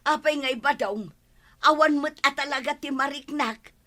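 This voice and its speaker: A woman playing an excitable, plaintive village woman in an Ilocano comedy radio drama, exclaiming and complaining. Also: sits high, normal speed. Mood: alarmed, whiny.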